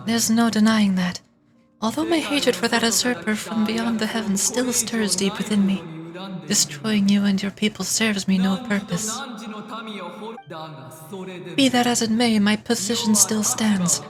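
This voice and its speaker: An ancient dragon, acted out by a woman speaks with proud, solemn grandeur. The game creature is measured and scornful, full of old hatred, with prominent music underneath. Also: mid-range voice, pitched below the performer's own voice, lightly acted. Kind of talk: game dialogue read aloud in character.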